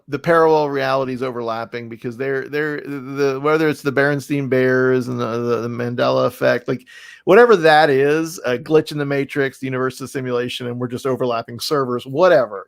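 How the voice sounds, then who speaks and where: A man with a mid-pitched, gravelly creak in his voice hosts a casual interview. He talks effusively and emphatically, rambling from thought to thought. The sound is very dry. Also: brisk, no echo. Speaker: podcast host and interviewer. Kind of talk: casual, enthusiastic interview chat.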